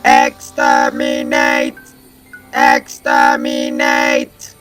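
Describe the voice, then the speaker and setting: Casting: ruthless alien war machine, high robotic shriek, very slow barked commands, audio drama. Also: male voice, level tone, over music, heavily acted. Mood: shrieking rage. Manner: staccato, drawn-out shrieked commands. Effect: harsh robotic voice modulation.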